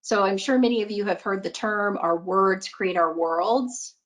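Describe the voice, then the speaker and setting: A woman's voice: medium pitch, measured pace, rough recording. Earnest woman coach giving a motivational talk. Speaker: money mindset coach presenting a talk. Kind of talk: motivational webinar talk, conversational.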